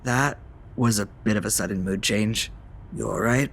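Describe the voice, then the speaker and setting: A man's voice: fairly low pitch, moderate pace, close to an everyday voice. A man playing a curious, sceptical new vampire in an audio drama, with a conversational, questioning delivery. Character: newly turned male vampire, curious, sceptical, naive.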